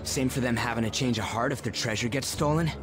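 A man playing a brash, hot-headed teenage delinquent with rough, slangy, blunt speech in a video game. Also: medium pitch, quick pace, close to an everyday voice. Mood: earnest, thoughtful. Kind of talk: English video game voice acting. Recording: music behind the voice.

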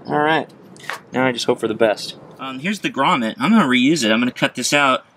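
A man in his thirties talks through a hands-on job in a casual, medium-pitched voice. He thinks aloud as he works. The camera mic picks up outdoor ambient noise around him. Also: a little fast, bright, forceful tone. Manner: casual, chuckling, thinking aloud while working. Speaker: DIY presenter. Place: outdoors at an open car. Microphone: camera set near the work.

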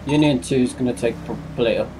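A man's voice: medium pitch, fast, background noise. A man chatting casually as a fellow roleplay officer, easygoing with short replies. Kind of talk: casual voice chat.

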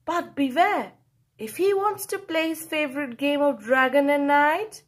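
A woman reading a picture book aloud to children, expressive and playful, drawing out key words for emphasis. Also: sits high, measured pace. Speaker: children's storyteller.

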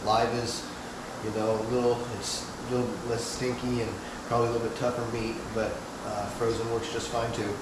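A relaxed man in his thirties walks through a hands-on fishing how-to, unhurried and full of filler words. His voice rings off the hard walls of a small room, with a steady hum running underneath. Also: mid-range voice. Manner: easygoing, many "uh" fillers, step-by-step. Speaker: fishing channel host. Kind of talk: casual how-to demonstration. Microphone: wide camera several feet away. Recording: roomy echo, clear background hum.

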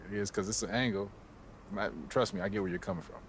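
A man's voice: fairly low pitch, steady tone, fast, slight background noise, close to an everyday voice. An American man in his twenties playing a guarded, laid-back basketball star in a video game, speaking casually and with understatement. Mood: calm, confident. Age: 20s. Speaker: basketball player character. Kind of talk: video game cutscene dialogue.

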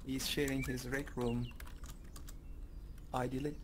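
A man's voice: mid-range voice, little pitch movement, takes it slowly. Guy from turkey just chatting in vr, headset mic with a good bit of noise. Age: adult parent. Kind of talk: casual chat in a virtual reality meeting. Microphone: VR headset microphone. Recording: noisy headset voice chat.

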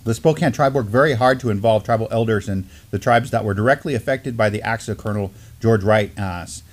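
A man's voice: on the low side, brisk. Middle-aged American man, informal news host. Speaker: co-host of a Native news live stream. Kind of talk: informal news discussion, partly reading articles aloud. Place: small indoor studio, seated at a desk.

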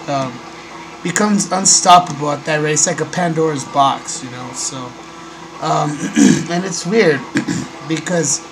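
A young man with a somewhat high voice talks hoarsely, still getting over a cold, into a desk microphone in a small bedroom. He fills his sentences with ums and keeps clearing his throat, and a steady hiss sits under the recording. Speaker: host. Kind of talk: casual webcam vlog. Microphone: desk microphone on a stand. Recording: noisy home recording.

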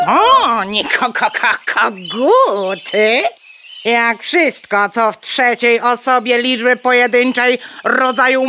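A haughty, nagging mother hen lectures and clucks through a children's radio play. The high voice swoops widely and takes its time over indignant phrases. Birdsong and clear background noise surround it. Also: full character voice. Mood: dry, sardonic. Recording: bird chirps and noise behind. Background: birdsong, farmyard ambience.

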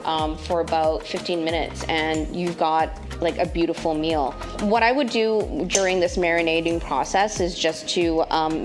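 A woman in her thirties hosting a casual cooking and tech vlog from her home kitchen, chatty and relaxed, with plenty of fillers. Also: lowish voice. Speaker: host and tech sales lead.

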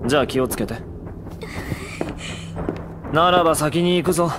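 A man's voice: on the high side, normal speed, very noisy, close to an everyday voice. A man playing a cool, aloof teenage zombie boy in an anime audio drama, curt and condescending. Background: loud music.

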